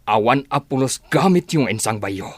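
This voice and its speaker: A man's voice: mid-range voice, lively intonation, moderate pace, dry recording, natural voice. An actor with an Ilocano accent playing a stern, protective father in a radio drama, confronting and commanding. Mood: angry, commanding. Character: stern protective father ordering people out of his house.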